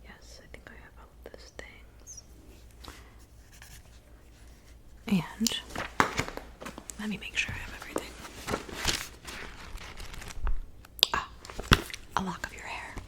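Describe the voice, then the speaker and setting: A woman's voice: medium pitch, fairly even intonation, lightly acted. Whispering witch played by a woman in ASMR roleplay. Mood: soft, businesslike. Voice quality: whispered, breathy. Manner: unhurried, intimate, addressing the listener as a client. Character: soft-spoken witch mixing love potions in her cabin.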